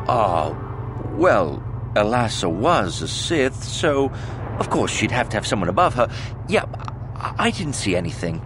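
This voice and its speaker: A man playing a grudging, sarcastic witness in a space opera video game, speaking emphatically. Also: fairly low pitch. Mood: guarded, wry. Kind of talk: video game character dialogue.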